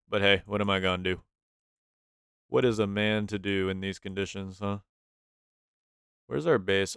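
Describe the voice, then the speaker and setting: A young man gives relaxed gameplay commentary in a slightly low voice that comes through stuffy and nasal. He rambles, wonders aloud and repeats his questions to himself. Despite the congestion his tone is smooth and clean, recorded close on a dry mic. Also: no echo. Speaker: gaming YouTuber.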